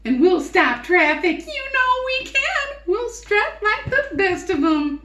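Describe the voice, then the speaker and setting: A woman's voice: extremely high pitch, big pitch swings, slow, pushed and bright, slight background noise, pitched above the performer's own voice, full character voice. A woman voicing a fussy, vain farm hen from a storybook, exclaiming showily, in an echoey room. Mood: giddy, vain. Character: indignant, jealous farm hens, later giddy and vain. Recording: roomy echo.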